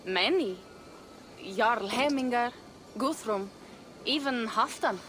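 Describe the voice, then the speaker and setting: A woman's voice: fairly high pitch, animated, takes it slowly, fairly bright tone, slight background noise. A woman playing a bold, persuasive Norse warrior in a dramatic video game, speaking in formal, old-fashioned phrases. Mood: earnest, grave. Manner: declarative, archaic formal phrasing.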